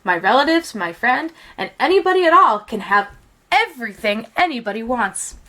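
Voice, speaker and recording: A woman voicing an earnest, excitable young male donkey in a storybook read-aloud, wishing aloud. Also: on the high side, normal speed, exaggerated voice. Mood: delighted.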